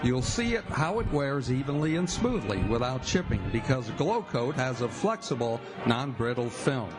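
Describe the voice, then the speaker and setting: Male announcer, old-time radio script read, mid-range, animated, prominent music. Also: medium pitch, normal speed. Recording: loud music under the voice.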